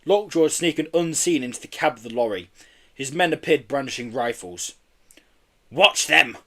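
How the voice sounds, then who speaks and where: A man's voice: mid-range voice, animated, a little fast. A man reading a children's storybook expressively, occasionally stumbling over his words. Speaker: audiobook reader.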